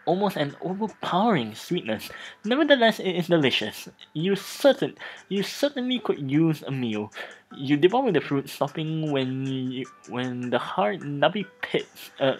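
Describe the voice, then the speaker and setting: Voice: medium pitch, breathy edge, poor audio. A let's play host commenting on a game and reading its text aloud in a theatrical, stumbling way.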